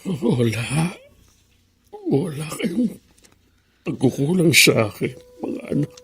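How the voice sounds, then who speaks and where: A man's voice: fairly high pitch, very animated, slow, fairly bright tone, breathy edge, heavily acted. An actor playing a stubborn, sorrowful elderly widowed father in a Filipino radio drama. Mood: pained, confessing. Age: older.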